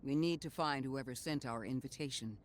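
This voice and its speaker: A calm, analytical robot companion voiced by a man, clipped and exact in his phrasing as he guides the player through a game. His pitch sits in an ordinary middle range. Faint ambient game sound hums behind him. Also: noisy background, lightly acted. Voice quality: measured, clipped and precise. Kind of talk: video game voice acting.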